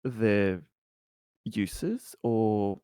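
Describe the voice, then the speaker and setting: A man playing a tabletop roleplaying game, speaking in improvised dialogue with hesitant, deliberate phrasing. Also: on the low side, expressive, mellow, clear, resonant tone, no echo, studio quality.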